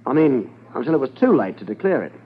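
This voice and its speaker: An actor playing an evasive gold smuggler in a 1950s radio drama, polite, formal and full of self-justification. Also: male voice, mid-range voice, very animated, fast, breathy edge. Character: smooth-talking, evasive male gold smuggler under questioning. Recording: tinny 1950s radio transcription.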